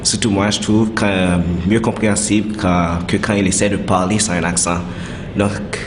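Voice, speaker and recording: A man narrating an educational piece, then speaking casually in a halting way. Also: on the low side, little pitch movement, a little hiss or hum. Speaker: narrator and interviewee. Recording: music under the voice.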